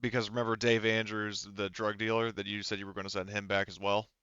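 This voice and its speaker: Bright, clear-voiced man running a tabletop game. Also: fairly low pitch, flat delivery, fast talker, no room sound, highs cut off. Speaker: game master. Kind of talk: casual tabletop roleplaying game narration.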